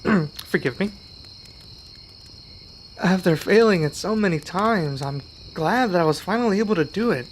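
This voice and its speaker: A man's voice: high voice, lively intonation, normal speed, pauses often, a little hiss or hum. A man playing an earnest young werewolf learning magic in an ASMR roleplay, speaking to one listener with some hesitation. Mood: sheepish.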